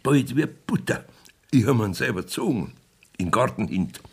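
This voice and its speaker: An actor playing a sly, evasive, beer-loving village judge in a Bavarian dialect radio play, with a folksy, rambling, blustering manner. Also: male voice, medium pitch, expressive, moderate pace, gravelly, breathy edge. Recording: 1970s radio drama studio recording.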